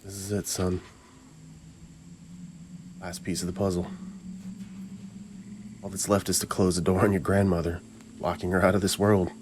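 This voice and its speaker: An actor playing a weary, remorseful middle-aged father turned monster, speaking gravely in a dramatic horror game cutscene. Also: sits low, flat delivery, moderate pace, long pauses, background noise, lightly acted. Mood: solemn.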